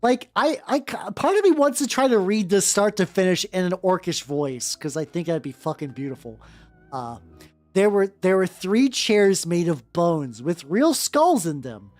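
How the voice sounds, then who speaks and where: Animated woman streamer over background music. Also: lowish voice, a little slow. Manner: playful, joking. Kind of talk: casual livestream chat and comic fanfiction read-aloud.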